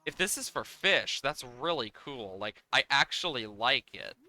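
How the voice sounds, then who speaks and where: A man's voice: medium pitch, lively intonation, measured pace, pushed and bright, dry recording. A man livestreaming a game, talking casually and playfully as he thinks aloud in a rambling way. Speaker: livestreamer, host. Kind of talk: casual live game-stream chat, reacting to game and viewers.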